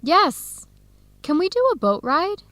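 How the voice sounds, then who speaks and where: Young female researcher for educational radio drama: warm, upbeat, fairly high voice, clear simple lines. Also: big pitch swings, slow pace, dry recording, full character voice. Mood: cheerful. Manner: clear, simple phrasing for English learners.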